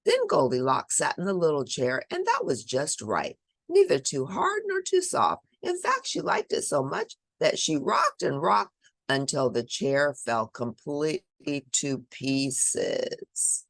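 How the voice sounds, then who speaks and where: A woman's voice: deep voice, wildly swooping pitch, takes it slowly, gravelly, dry recording. A woman reading a picture book aloud to children, expressively, with dramatic emphasis and chatty asides. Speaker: children's storybook reader.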